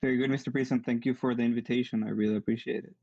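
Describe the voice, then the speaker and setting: A young man with a strong Mexican accent answers interview questions earnestly, correcting himself as he goes. His delivery stays flat and even. The sound is dry and muffled, like lo-fi video call audio. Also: mid-range voice, very fast, vocal fry, no echo. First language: Spanish. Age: young adult. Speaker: guest, engineering student. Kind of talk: online interview.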